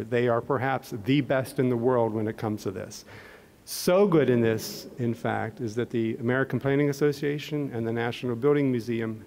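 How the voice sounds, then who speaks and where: A man's voice: medium pitch, gravelly, a little mellow. A middle-aged man with a Pittsburgh accent, speaking conversationally into a podium microphone to an audience in a large hall, with frequent fillers and restarts. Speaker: embassy economist and panel moderator. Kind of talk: public talk at a podium. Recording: long hall reverb.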